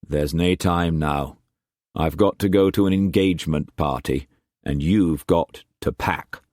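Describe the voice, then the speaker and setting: A deep, gruff trader read by a man, calm and measured, with no hurry in him. He is recorded close and dry. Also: no echo. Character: commanding Scottish trading taipan, middle-aged.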